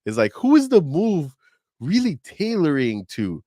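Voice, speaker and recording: A man's voice: on the high side, wildly swooping pitch, unhurried, mellow, dry recording, studio quality. A man co-hosting a podcast, talking casually and animatedly with slang and fillers. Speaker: podcast co-host.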